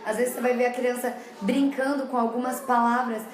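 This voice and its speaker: A woman with a Brazilian Portuguese accent, a child development educator, talks parents through her points in a plain, explanatory way and circles back to repeat things. Her voice sits a little high. The sound carries the echo of a hard-walled room. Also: fairly even intonation, a little fast. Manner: explanatory, repeats herself often. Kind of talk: casual talk to parents. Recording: echoey room.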